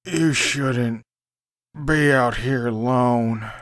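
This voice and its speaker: An amateur actor playing a bitter, brooding young man in an audio drama, with a sardonic, deliberate way of talking. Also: mid-range voice, fairly bright tone. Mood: tipsy. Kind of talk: fan audio drama acting.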